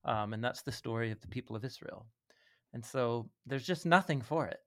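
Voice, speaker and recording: A man's voice: medium pitch, fairly quick, fairly bright tone. Thoughtful man explaining in conversation. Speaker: podcast guest, Bible teacher.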